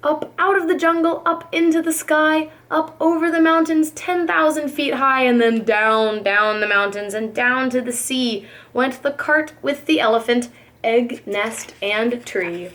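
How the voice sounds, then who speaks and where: High-voiced woman reading a storybook. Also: measured pace, a bit bright. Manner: emphatic, rhythmic rhyming delivery. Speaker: preschool teacher reading aloud. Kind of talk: expressive storybook narration.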